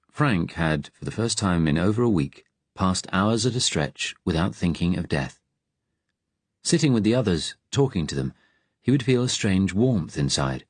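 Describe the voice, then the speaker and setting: A man reading scripted audiobook narration in a measured, literary storytelling manner, close to the microphone. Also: low voice, no room sound.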